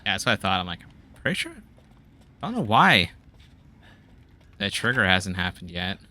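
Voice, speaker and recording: Lowish guy with a super bright edgy voice, chatting and laughing thru a game, bit of hiss behind. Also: very animated, moderate pace. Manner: chatty, laughs, muttering to himself when stuck. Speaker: let's play gamer. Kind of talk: casual gameplay commentary.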